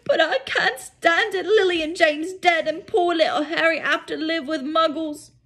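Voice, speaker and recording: A huge, tender-hearted storybook giant man, acted out by a woman. He sobs sentimentally, his voice lifted high and loud with grief. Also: normal speed, exaggerated voice. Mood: sobbing. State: sobbing.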